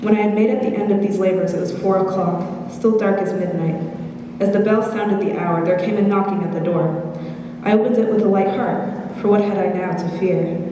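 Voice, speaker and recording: A young woman playing a calculating, self-satisfied murderer in a measured, theatrical gothic monologue. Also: mid-range voice, steady tone, natural voice. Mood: cool, pleased. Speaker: high school drama student. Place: school stage performance, far mic. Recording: very echoey hall from far off, noisy.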